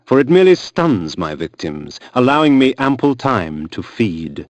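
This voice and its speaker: Male actor as aristocratic vampire, cynical, haughty, bloodthirsty, animated pitch, dry close sound, dramatic game narration. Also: moderate pace, dry recording, lo-fi sound, natural voice. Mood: cold, calculating. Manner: theatrical, eloquent, disdainful narration.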